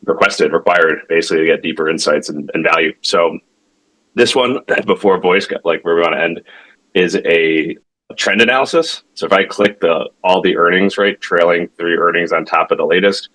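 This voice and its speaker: Tech founder, male, deep but crisp-edged voice, walking through a product informally, halting and conversational. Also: a bit bright, poor audio. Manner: halting, self-correcting, conversational, checks in with "right?". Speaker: tech company founder demonstrating his product. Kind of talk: informal product demo explanation.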